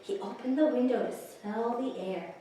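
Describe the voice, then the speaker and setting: A woman's voice: fairly high pitch, moderate pace, warm tone, slight background noise. A woman hosting a story time for young children, speaking in simple, encouraging phrases as she introduces and reads picture books. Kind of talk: children's story time, reading and singing along. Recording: very echoey room, far from mic.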